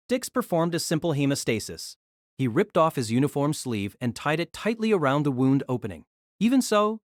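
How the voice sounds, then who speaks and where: A man's voice: a little high, dry recording, studio quality. A man reading scripted dramatic narration for an audio drama.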